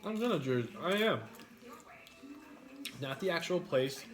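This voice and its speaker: A man with a New York accent chats loosely while eating, joking and rambling between bites. His voice sits a bit high for a man. He swears casually as he goes. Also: lively intonation, measured pace. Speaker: mukbang host.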